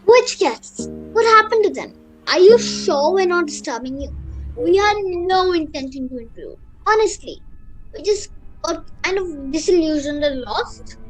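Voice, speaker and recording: A woman's voice: extremely high pitch, lively intonation, a little slow, soft background music, poor audio. A woman playing a frightened, polite young girl in a radio play, with a hesitant delivery. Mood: nervous politeness. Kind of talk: amateur radio play acting.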